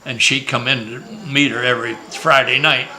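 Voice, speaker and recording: Bright-voiced older man from Maryland. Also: medium pitch, fairly even intonation, normal speed, low-quality recording. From Maryland, USA. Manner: rambling, joking storyteller with many restarts. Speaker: grandfather being interviewed. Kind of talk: casual family interview, reminiscing. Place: family home at a reunion.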